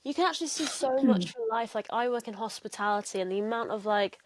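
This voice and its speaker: A woman's voice: fairly high pitch, fast, no echo. A young woman hosting an interview, talking casually with lots of "like" fillers. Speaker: interviewer host.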